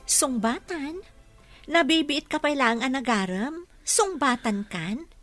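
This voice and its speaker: Bashful young office clerk, radio drama: high, bright voice, polite, Ilocano accent. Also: female voice, animated, slow pace, faint music behind, full character voice. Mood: flustered, bashful. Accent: Filipino, Ilocano. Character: modest young female clerk, bashful and polite with her boss.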